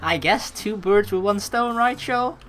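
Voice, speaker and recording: A woman's voice: medium pitch, measured pace. A young woman playing through a game, reading its text aloud expressively and adding playful, casual commentary. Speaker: let's play host. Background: game music.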